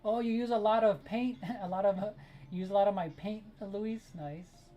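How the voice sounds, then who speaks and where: A woman streaming while she draws, chatting casually and greeting viewers by name. Her voice is mellow and warm, sitting at a middling pitch. Spanish is her first language. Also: moderate pace, dull audio. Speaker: art streamer. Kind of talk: casual live-stream chat while drawing.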